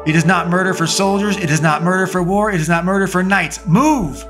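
A man's voice: high-pitched, brisk, music in the background, voice pushed up, close to an everyday voice. A man voicing a tough woman deputy who barks clipped orders. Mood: urgent commanding. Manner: commanding, clipped.

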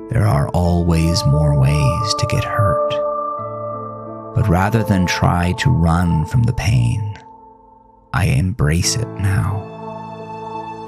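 Deep-voiced man narrating horror over music. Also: vocal fry. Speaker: horror podcast host and narrator. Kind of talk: scripted first-person horror narration.

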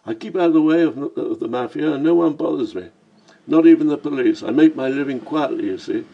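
A man's voice: on the high side, fairly quick, vocal fry, dull audio, voice pushed up. A man putting on a chirpy Cockney crook. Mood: boastful. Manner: chirpy, matey, teasing. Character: cheeky wiry Cockney man, small-time crook.

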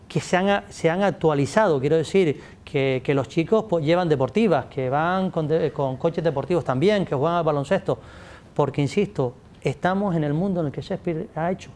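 A man's voice: fairly high pitch, darkish tone. Gesturing man, a native English speaker. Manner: gestures a lot, many restarts, addresses audience. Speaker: guest speaker, literature scholar. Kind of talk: animated interview answers. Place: studio set with pink backdrop. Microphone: table mic.

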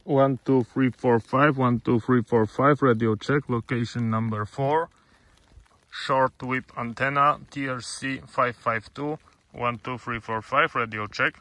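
A man's voice: mid-range voice, fairly even intonation, unhurried, a bit bright, no room sound. A man making radio test calls outdoors, in a clipped, repetitive counting delivery. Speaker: radio hobbyist testing equipment. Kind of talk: radio check test call. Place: outdoors in grass and woods.

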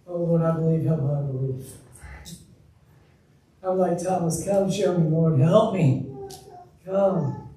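A man's voice: fairly high pitch, takes it slowly, long pauses, dark tone. A man in his thirties, a pastor, preaching an earnest, emphatic sermon from a pulpit in a church sanctuary. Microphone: room mic, far from speaker. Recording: echoey distant church recording.

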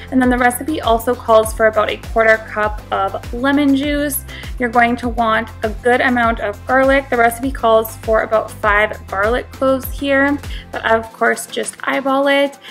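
A woman's voice: a little high, fairly bright tone. A young woman giving a friendly, instructive voice-over for a home cooking tutorial. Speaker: cooking vlogger.

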